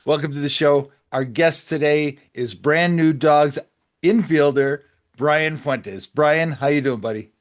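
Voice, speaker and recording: A man's voice: on the high side, measured pace. A man hosting a sports podcast, asking questions in a casual interviewing style.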